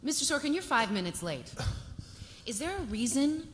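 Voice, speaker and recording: A sharp-tongued, bossy female secretary at a TV drama table read. Her fairly high voice moves around a lot in pitch, echoing through a big hall. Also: sing-song, normal speed. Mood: brisk. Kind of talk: live table read of a TV script. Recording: noisy hall with long reverb.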